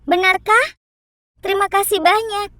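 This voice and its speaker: Very high, earnest little girl. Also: steady tone, unhurried, pushed and bright, no echo, clean studio sound, full character voice. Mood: sweet, hopeful. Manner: pleading, earnest. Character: cautious, sweet, loyal little girl. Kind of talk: children's cartoon dub.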